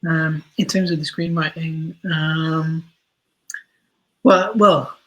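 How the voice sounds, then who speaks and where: A man's voice: on the high side, fairly even intonation, takes it slowly, rough recording. A man answering casually as a podcast guest, thinking aloud in a hesitant, thoughtful way. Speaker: podcast guest, audio drama writer.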